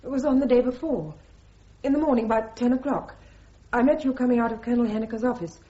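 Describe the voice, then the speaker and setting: Female, actress as earnest army captain in the witness box, highish voice, brisk and precise, dull radio recording. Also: warm tone, natural voice. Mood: earnest, reluctant. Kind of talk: radio drama acting.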